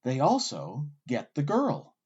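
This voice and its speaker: A man hosting with scripted documentary narration, delivered in a wry, articulate storyteller's way with deliberate emphasis. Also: on the high side, wildly swooping pitch, a little mellow, slightly rough, muffled recording. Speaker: host and narrator.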